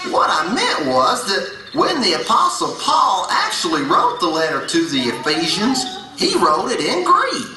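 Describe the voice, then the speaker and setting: Folksy, slow-talking puppet critter, acted out by a performer. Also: mid-range voice, wildly swooping pitch. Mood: patient, explaining. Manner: folksy drawl, dropped endings, gently explaining. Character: folksy, patient animal puppet character, Elmer. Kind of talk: children's religious puppet show dialogue. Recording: echoey hall, distant mic, music behind.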